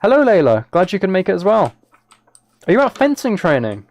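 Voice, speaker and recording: A man's voice: sits high, huge pitch swings, brisk, darkish tone. A man chatting casually on a live game stream, thinking aloud as he plays and talking to his viewers.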